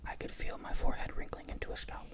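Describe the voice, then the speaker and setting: A narrator reading a first-person crime story aloud in a steady whisper. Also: fast. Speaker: audiobook narrator.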